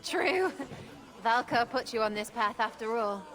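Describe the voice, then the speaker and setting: A woman playing a gracious, gently probing villager of the Viking age in a video game cutscene. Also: on the high side, lively intonation, unhurried, some chatter behind, poor audio.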